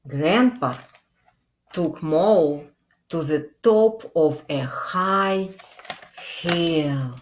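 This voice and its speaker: A middle-aged woman reading a picture book aloud for young children, gently and expressively, pausing to ask questions. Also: deep voice, a little hiss or hum. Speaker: children's librarian. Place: small indoor room.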